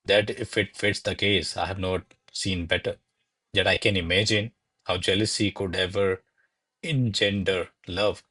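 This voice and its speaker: Man playing a deferential, low-voiced young gentleman secretary. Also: steady tone, measured pace, breathy edge, no echo, heavily acted. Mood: earnest, pensive. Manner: formal, deferential, wordy. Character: diffident, deferential male secretary courting his lady. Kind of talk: stage verse drama read as radio play.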